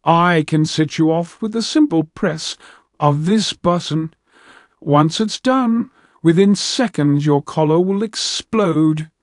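A man's voice: fairly high pitch, slow pace, no room sound, clean studio sound, pitched above the performer's own voice. A man voicing a haughty elder male cat-like alien villain, contemptuous and threatening in an audiobook. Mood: gloating menace. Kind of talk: audiobook character voice.

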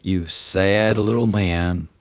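A man voicing a gruff, defiant human starship commander who talks bluntly and needles his captors. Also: sits low, steady tone, slow, dry recording. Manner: blunt, needling, tough-talking. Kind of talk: audiobook character voice.

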